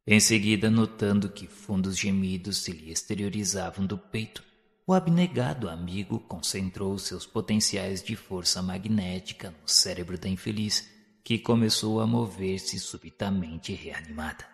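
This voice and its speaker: Solemn man narrating, very low voice, long reverb tail, measured pace. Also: creaky, soft background music. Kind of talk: scripted literary narration.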